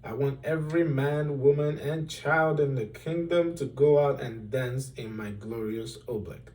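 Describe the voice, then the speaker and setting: A man's voice: mid-range voice, fairly even intonation, a little slow, a little mellow, distant mic, close to an everyday voice, low-quality recording. A man voicing a bossy, gleeful, short-tempered king from a storybook in a commanding manner. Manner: commanding, snapping, boastful.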